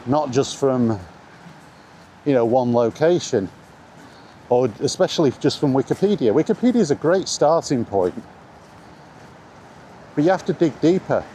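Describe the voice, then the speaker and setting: A man's voice: medium pitch, lively intonation, a little slow, pauses often, mellow. A middle-aged man giving a casual, blunt opinion monologue as a video blogger, repeating his points for emphasis while recording outdoors. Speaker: video blogger talking about retro games. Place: outdoors in the rain, walking. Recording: noisy outdoor recording with ambient noise.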